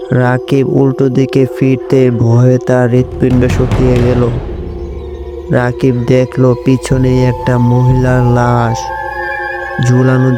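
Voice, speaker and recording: A man reading scripted narration for an animated horror story. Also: mid-range voice. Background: loud music.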